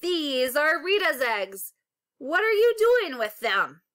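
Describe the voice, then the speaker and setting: A blunt old man from a storybook, played by a woman reader, full of suspicion and questions. The voice is high and bright, with slow, deliberate phrasing. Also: sing-song, full character voice. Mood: suspicious. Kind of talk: children's storybook read-aloud.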